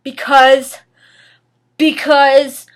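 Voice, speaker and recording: A big, indignant wizard groundskeeper played by a woman declaims loudly in a dramatic story reading. He drags out his words with great melodrama and wounded pride. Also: high voice, level tone, very slow, voice pushed up. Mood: dramatic declaring.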